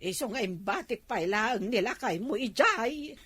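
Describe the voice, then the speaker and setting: A woman with an Ilocano accent playing a frank, gossipy village mother in a comedy drama, with a husky voice. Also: fairly high pitch, lively intonation, moderate pace, a bit bright. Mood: teasing, admonishing.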